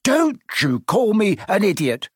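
An earnest, anxious schoolboy with stubborn courage, voiced by a man reading a storybook. The pitch sits high and moves in lively jumps. The sound is dry. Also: a little slow, no room sound. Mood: defiant.

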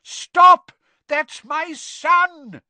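Male narrator as worried dad, storybook wizard, protective, shaky, high urgent voice. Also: drawn-out words, pushed and bright, no room sound, full character voice. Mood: terrified, shouting. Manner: shaky, alarmed. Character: anxious wizard father, protective of his son.

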